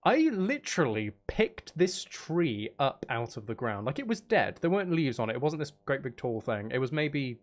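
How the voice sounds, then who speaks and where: A man's voice: medium pitch, sing-song, moderate pace, hardly any pauses. A man live streaming in a casual, chatty way, with dry, teasing humour while he plays a game.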